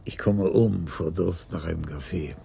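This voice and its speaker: An actor playing an elderly police commissioner in a radio play, courteous, dry and measured, with a gravelly, husky voice. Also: male voice, sits low, warm tone, slight background noise. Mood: gallant.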